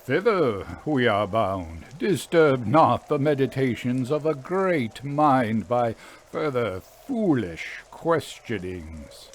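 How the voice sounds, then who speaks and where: A man voicing a breezy young gentleman angler in a storybook, grumbling and pompously mock-grandiose. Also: medium pitch, big pitch swings, slow pace, a little hiss or hum.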